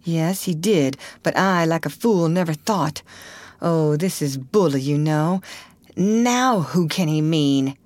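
Woman acting an excitable, superstitious country boy. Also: sits low, slow, no room sound. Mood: relieved, excited. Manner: breathy urgent exclamations and hushed whispering. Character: superstitious, excitable young American country boy, bossy yet easily frightened.

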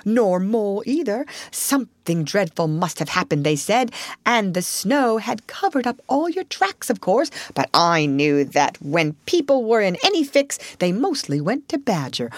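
A woman's voice: fairly high pitch, dry recording, studio quality, pitched above the performer's own voice. A woman voicing a breezy, hearty male otter who talks expansively in a storybook. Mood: cheerful, hearty. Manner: hearty, talkative, expressive storytelling.

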